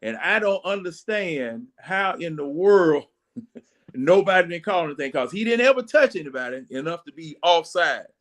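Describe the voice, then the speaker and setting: A man in his sixties with a slightly high voice for a man talks sports like a fan. He rambles along in folksy, storytelling fashion, casual and conversational. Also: expressive, normal speed, a bit bright. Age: 60s. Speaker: sports talk panelist. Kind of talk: casual football fan chat.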